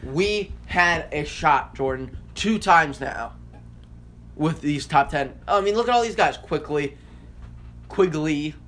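A man's voice: on the high side, animated, takes it slowly, fairly bright tone. An American man co-hosting a sports podcast, arguing his points emphatically and repeating phrases to drive them home in casual debate.

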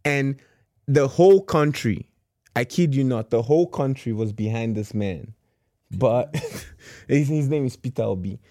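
Male guest, unhurried delivery, dry close sound, casual and emphatic explainer. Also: medium pitch, mellow, dry recording. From Nigeria. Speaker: guest in a discussion.

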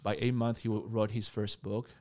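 A male interview guest with a fairly low voice tells stories in a conversational way. His delivery is halting, and he repeats words as he builds each sentence. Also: level tone, a little mellow. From born in Taiwan, grew up in Argentina and Canada. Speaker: mentor and coach, podcast guest.

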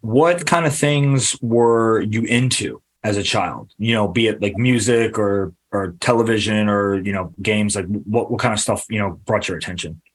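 A man hosting a podcast interview, asking long, halting questions full of restarts and fillers. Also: lowish voice, slightly rough. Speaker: podcast host. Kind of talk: casual interview.

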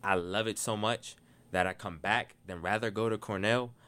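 A young man chatting casually as a podcast guest, animated and quick to laugh. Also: lowish voice, clean tone. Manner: animated, quick to laugh, repeats words when starting.

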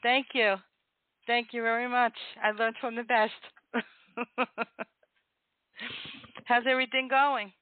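A woman's voice: a little high, fairly even intonation, takes it slowly, pauses often, no room sound. A woman hosting a casual call-in interview, friendly and restarting her sentences often. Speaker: podcast host. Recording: tinny phone-like audio, dry.

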